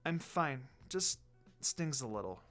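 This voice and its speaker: An earnest young kunoichi, read by a man speaks politely and with quiet determination. It is a dutiful story character, with music underneath. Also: medium pitch, big pitch swings, slow. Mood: reassuring.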